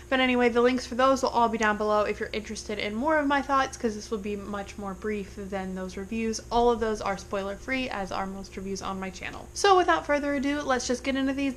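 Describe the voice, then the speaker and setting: A woman's voice: a little high, fairly bright tone, a little hiss or hum, poor audio. A young woman with a congested voice, chatting casually through a monthly book wrap-up with rambling asides. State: congested from illness. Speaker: book vlogger.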